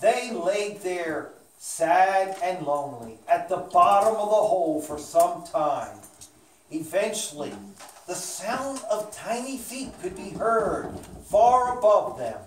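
A woman's voice: on the low side, lively intonation, slow pace. A woman narrating a children's puppet show story in an indoor room. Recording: echoey room, distant mic, faint noise.

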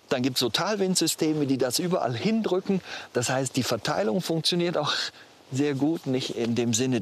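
A middle-aged man outdoors in the mountains, explaining earnestly with rhetorical questions in an interview. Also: mid-range voice. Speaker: expert interviewee.

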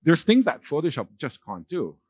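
A middle-aged man giving a conference keynote on a large dark stage, talking loosely with fillers and restarts. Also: on the high side, wildly swooping pitch, normal speed, breathy edge, no room sound. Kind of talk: keynote talk with slides.

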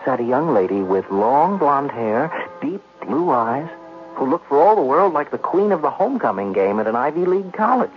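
An actor playing an anxious, indignant gambler, narrating and pleading theatrically in a 1970s radio drama. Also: male voice, mid-range voice, sing-song, moderate pace, lightly acted. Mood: dramatic narration. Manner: theatrical, stammering, nervous. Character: anxious, indignant male gambler in debt, narrating his plight. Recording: dull vintage radio recording, music behind.

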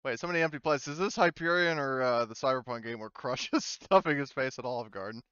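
A man's voice: mid-range voice, fast, pushed and bright, clear, resonant tone, no echo, dull audio. A man running a tabletop game as game master, casual and easily amused. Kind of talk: casual game narration and chat.

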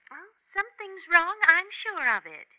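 A woman's voice: extremely high pitch, big pitch swings, normal speed, pushed and bright, no room sound, heavily acted, poor audio. An actress playing a solicitous, genteel society woman in a 1950s radio drama. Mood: concerned. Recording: vintage radio, faint noise.

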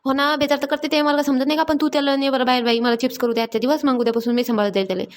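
Woman as cartoon village mom, high, extremely quick, nagging. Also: steady tone, lightly acted. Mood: coaxing, harried. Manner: nagging, rapid repeated orders. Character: scolding, busy village mother. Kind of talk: Marathi comedy cartoon dialogue.

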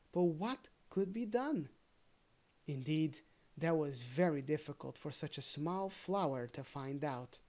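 Slow, deliberate storybook reader. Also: medium pitch, a little mellow. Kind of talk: read-aloud fairy tale narration with casual asides.

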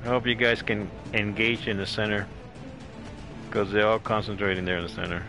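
A man's voice: on the low side, little pitch movement, a little fast, music in the background. A man doing casual live commentary as a gaming streamer, rambling and repeating his phrases.

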